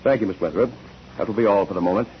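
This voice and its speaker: Actor as deep-voiced, probing courtroom examiner. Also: male voice, racing, close to an everyday voice. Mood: brisk, methodical. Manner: crisp, formal questioning. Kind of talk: 1940s radio acting. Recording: dull, noisy 1940s radio recording.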